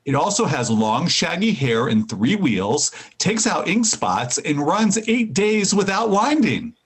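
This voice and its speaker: A man's voice: fairly high pitch, sing-song, unhurried, husky, exaggerated voice. A man playing a teasing, corny husband in a 1940s-style radio comedy, playful and hammy.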